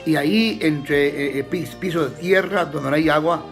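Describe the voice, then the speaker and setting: A man's voice: fairly high pitch, vocal fry. Pastor, US-born man, telling his conversion story; earnest, restarts often, light music bed. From United States. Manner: earnest, halting, many restarts and fillers. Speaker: missionary pastor. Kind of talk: testimony in an interview.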